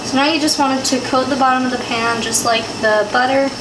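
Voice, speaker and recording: A woman's voice: high voice, fairly even intonation, fast talker. A teenage girl casually walking through a recipe step by step in her home kitchen, with plenty of fillers. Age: teens. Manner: chatty, explaining step by step, lots of fillers. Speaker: vlogger cooking at home. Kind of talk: casual cooking demo. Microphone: phone camera, handheld at times. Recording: echoey kitchen, loud background noise.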